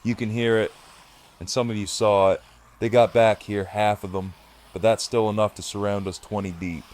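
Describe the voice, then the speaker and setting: A man's voice: on the low side, steady tone, normal speed, darkish tone, lightly acted. A man playing a steely, commanding leader of apocalypse survivors, firm and rallying, in an audio drama. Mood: firm, grave. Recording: clear background noise.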